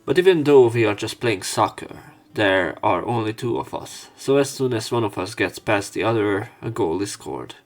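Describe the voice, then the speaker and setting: A man's voice: on the low side, animated, moderate pace, few pauses. Man narrating a visual novel playthrough. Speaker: let's play narrator. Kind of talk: reading visual novel translation aloud with commentary.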